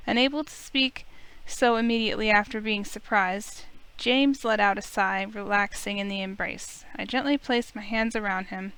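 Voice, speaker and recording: A woman reading a visual novel aloud as an expressive, dramatic narrator. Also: medium pitch, clear, resonant tone, low-quality recording. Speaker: let's play streamer. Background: music in the background.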